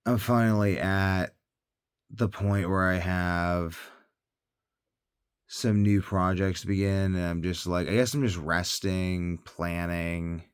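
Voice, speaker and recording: A man's voice: sits low, fairly even intonation, takes it slowly, clean tone, dry recording, clean studio sound. A tired man talking in a casual, rambling monologue as a health vlogger, hesitant and thinking aloud, often trailing off.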